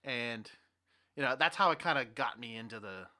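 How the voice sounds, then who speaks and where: A young man hosting a podcast with casual, animated, rambling banter about movies and games. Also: mid-range voice, normal speed. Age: young adult. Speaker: podcast host.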